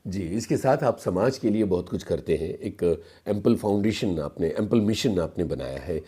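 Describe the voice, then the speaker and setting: A man hosting a formal radio interview in a studio, asking questions in a polite, measured way. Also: deep voice, expressive, mellow, slightly rough.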